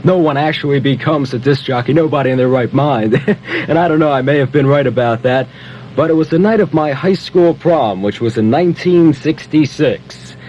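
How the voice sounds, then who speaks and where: High-pitched young American radio DJ man. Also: moderate pace, a little mellow, highs cut off, slight background noise. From Philadelphia, United States. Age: 20s. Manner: playful, chatty, with interjections and asides. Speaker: radio disc jockey. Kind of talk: 1970 Top 40 radio DJ patter. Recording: vintage 1970 AM radio aircheck, music behind. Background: pop records playing under the voice.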